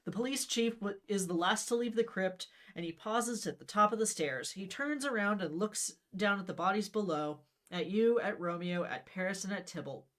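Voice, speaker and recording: A woman's voice: mid-range voice, moderate pace, hardly any pauses, a bit bright. A woman reading a story aloud on a livestream in a wry, conversational way, mixed with casual chat.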